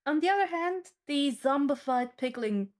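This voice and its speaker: A woman running a tabletop game, narrating on the fly in a deliberate way with pauses between phrases. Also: sits high, animated. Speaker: game master.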